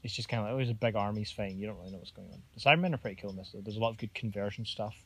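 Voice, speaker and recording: A man's voice: lowish voice, rapid-fire, slightly rough. A man co-hosting a podcast, chatting casually outdoors with dry, teasing banter. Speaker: podcast co-host. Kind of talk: casual chat. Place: outdoors near a railway line.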